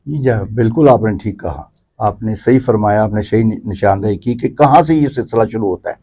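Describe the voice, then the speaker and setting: A man's voice: lowish voice, mellow. A man with a Pakistani accent explaining things conversationally as an interview guest, piling up examples and repetitions.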